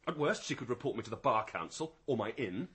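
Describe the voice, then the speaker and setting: A man's voice: mid-range voice, expressive, fast, fairly bright tone, breathy edge. An actor playing a rattled, hot-tempered male barrister and husband in a radio drama, agitated and volatile. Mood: low, worried.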